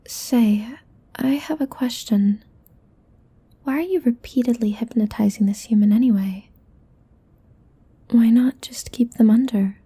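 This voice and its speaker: Woman as a softly whispering, teasing demon. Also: fairly high pitch, heavily acted. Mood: curious. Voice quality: soft, breathy whisper. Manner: slow, coaxing, teasing. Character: teasing, playful, possessive demon. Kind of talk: whispered ASMR audio roleplay.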